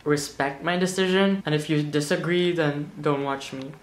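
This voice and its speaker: A young man with an American accent, talking fast to camera in a small bedroom, defensive and full of "like" fillers, recorded on wireless earbuds. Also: a little high, fairly even intonation, noisy background. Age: young adult. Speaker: vlogger. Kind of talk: casual vlog talk to camera.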